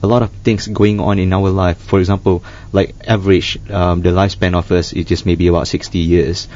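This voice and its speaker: A man speaking as a humanitarian worker guest in a panel discussion, halting and full of restarts. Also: low-pitched, level tone, a little mellow. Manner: halting, many restarts and fillers. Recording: dull lo-fi studio sound.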